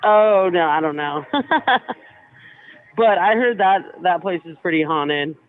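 Tinny phone-line young man, higher-pitched. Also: takes it slowly. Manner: hesitant, filler-heavy, informal. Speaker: hotel employee taking a call. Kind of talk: casual phone conversation. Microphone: telephone.

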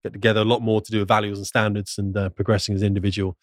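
Bloke as a podcast guest, talks really fast, no room echo, chatty. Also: male voice, lowish voice, level tone, clean studio sound. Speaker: podcast guest, flying instructor. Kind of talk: casual panel chat.

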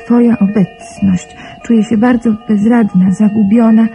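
A woman's voice: on the high side, fairly even intonation, unhurried, warm tone, faint music behind. An actress playing an anxious, helpless young woman in a radio play, hesitant and pleading in manner. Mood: lonely, wistful. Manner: hesitant, pleading, repeating herself. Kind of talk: Polish radio play acting.

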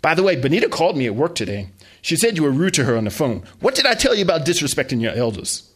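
A man voicing a domineering middle-aged mother who scolds and threatens her son, with a husky voice. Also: mid-range voice, big pitch swings, fast, voice pushed up. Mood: stern.

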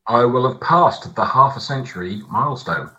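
A man's voice: medium pitch, animated, moderate pace, sharp tone. A British man in his late forties chatting casually as a podcast co-host, on a dull mic. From United Kingdom. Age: late 40s. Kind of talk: casual chat.

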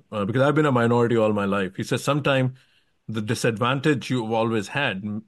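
A man's voice: on the low side, no echo, clean studio sound. A man hosting a casual podcast interview, digressing and qualifying himself as he works toward his questions. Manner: rambling, digressive, self-qualifying.

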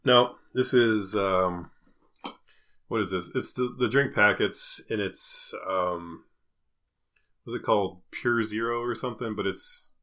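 Low-voiced man in casual, jokey chat. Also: takes it slowly, bright, forceful tone. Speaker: podcast co-host. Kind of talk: casual banter.

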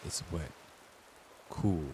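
A man narrating a scripted guided meditation as its host, deliberate and soothing, leaving long pauses between short phrases. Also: noisy background.

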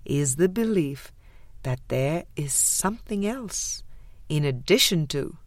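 A woman narrating a spiritual audiobook in a measured, deliberate way with frequent pauses. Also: deep voice, animated, no room sound. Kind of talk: scripted spiritual book reading.

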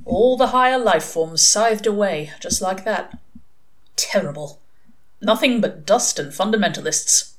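A woman voicing a prim, earnest and gentle male angel in a storybook reading, hesitant and over-polite. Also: medium pitch, animated, unhurried, lightly acted, low-quality recording. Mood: gloomy.

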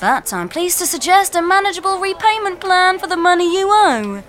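A woman playing a formal, officious female financial adviser in an audio comedy sketch, speaking emphatically and deliberately. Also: very high voice, sing-song, fairly bright tone, slight background noise, voice pushed up, full character voice. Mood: brisk, matter-of-fact. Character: brisk, formal female financial adviser breaking bad news.

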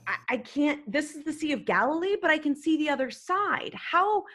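A woman's voice: sits high, moderate pace. A woman telling a comedic story to a podcast panel, rambling and emphatic. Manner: animated, rambling, emphatic with "freaking". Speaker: guest storyteller.

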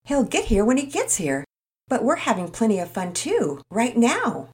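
Brief: woman voicing an earnest, kind boy, a bit raised in pitch, for a children's story. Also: wildly swooping pitch, moderate pace, darkish tone. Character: friendly, thoughtful young boy.

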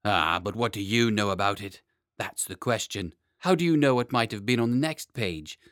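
A man's voice: fairly low pitch, lively intonation, normal speed, a bit bright, no echo, studio quality. A man voicing a stern, indignant and pompous court Chancellor in a storybook. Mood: scolding.

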